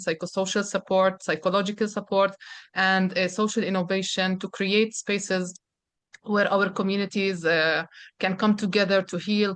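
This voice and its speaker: A woman's voice: medium pitch, a bit bright, dry recording. Woman, earnest, filler-heavy delivery, dry close mic. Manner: earnest, frequent filler pauses. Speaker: panelist, youth organization representative. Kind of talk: online panel remarks.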